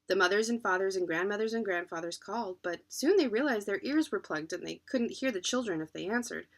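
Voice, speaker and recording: Storybook narrator: adult female, medium pitch, expressive read-aloud delivery for children. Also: fairly quick. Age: adult, parent. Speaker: children's book reader.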